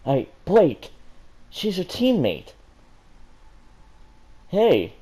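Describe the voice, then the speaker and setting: Man putting on excitable scheming girl, raised pitch. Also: drawn-out words, slightly rough, exaggerated voice. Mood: excited. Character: eager, scheming, excitable younger teenage girl.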